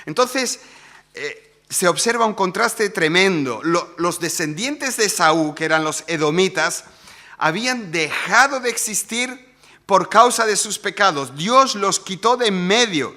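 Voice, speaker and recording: A man's voice: sits high, expressive, unhurried, sharp tone. A man preaching a sermon in a conversational way, often asking the congregation questions. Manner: conversational, asks the audience rhetorical questions.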